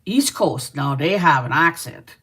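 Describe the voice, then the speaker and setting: A woman's voice: deep voice, animated, measured pace, a bit bright, breathy edge. A middle-aged Canadian woman hosting a live crochet stream, chatting casually, teasing and laughing often while she reads viewer comments aloud. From Manitoba, Canada.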